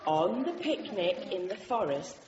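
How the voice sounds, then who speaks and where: An actress playing a brisk, controlled nursery teacher talking to small children in a radio drama. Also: female voice, mid-range voice. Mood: storytelling. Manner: clear short instructions, animated. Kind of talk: acted classroom talk and storytelling. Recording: roomy, dull, noisy classroom sound.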